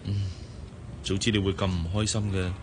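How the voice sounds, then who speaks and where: A male actor playing an affluent, patient fiancé in a Cantonese radio drama, reasoning gently and confidently in a Hong Kong accent. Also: deep voice, flat delivery, moderate pace, background noise, natural voice. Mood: soothing, reasoning. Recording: music behind the voice.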